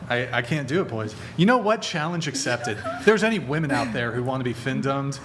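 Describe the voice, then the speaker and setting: A man hosting a podcast panel, joking and hesitant, with lots of fillers, speaking into a desk mic in a bright studio. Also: mid-range voice, big pitch swings, brisk, a crowd in the background. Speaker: podcast host. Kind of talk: casual panel chat. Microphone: desk mic on arm.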